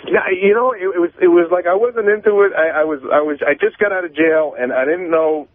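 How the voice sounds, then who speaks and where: Casting: male phone-in caller, higher-pitched, halting, defensive confessor; narrow telephone sound. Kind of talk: casual confessional anecdote. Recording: thin, tinny phone line.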